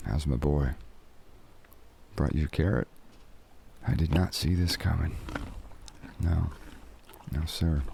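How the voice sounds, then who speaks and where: An actor playing a proud, middle-aged ranch hand in an audio drama, plainspoken, terse and folksy. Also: male voice, extremely low pitch, creaky, warm tone, a little hiss or hum, exaggerated voice. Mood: wistful, tender. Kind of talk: naturalistic full-cast audio drama acting.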